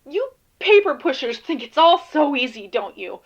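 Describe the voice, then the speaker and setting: A haunted field agent with a fairly high voice. She is weary and guarded, talking in halting, casual phrases as in an audio drama. The recording is a little dull. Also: big pitch swings. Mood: bitter, angry. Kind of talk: audio drama interview acting.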